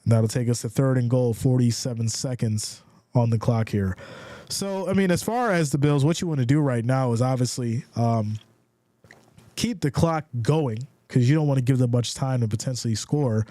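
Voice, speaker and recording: A congested man calls football plays on a live stream, switching between play-by-play and easy chat with his viewers. His voice is warm and mellow, slightly stuffed up. The sound is dry and close, with no room around it. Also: medium pitch, normal speed, dry recording. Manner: casual, reads viewer comments aloud; builds excitement calling plays. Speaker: live stream sports commentator.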